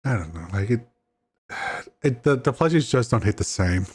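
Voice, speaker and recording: A man's voice: medium pitch, animated, normal speed, a little mellow, slightly rough. A man hosting a podcast who chats casually and in a friendly way, often restarting his words. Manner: friendly, hesitant, repeats words. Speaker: podcast host.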